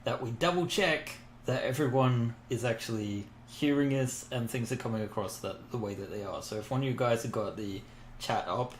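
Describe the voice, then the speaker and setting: A man's voice: mid-range voice, moderate pace. Australian male player, easygoing, roomy echo. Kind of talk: casual chat. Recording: echoey room with faint noise.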